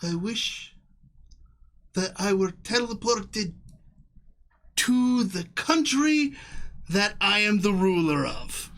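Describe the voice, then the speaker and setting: A man playing a tabletop game, speaking deliberately in character. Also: sits high, expressive, fairly bright tone. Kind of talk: slow, deliberate in-character play.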